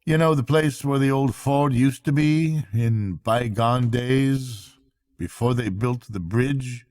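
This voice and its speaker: A worried water rat from a bedtime storybook, acted out by a man. The voice is lifted high and confides gravely and earnestly, like a kind friend sharing troubles. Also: takes it slowly, darkish tone, natural voice. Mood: grave, anxious. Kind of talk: bedtime storybook character reading.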